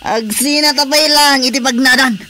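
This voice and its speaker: High, forceful Ilocano jealous husband. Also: male voice, steady tone, normal speed, heavily acted. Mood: furious. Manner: forceful, confrontational. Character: angry, jealous husband fed up with a cat. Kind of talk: radio drama acting.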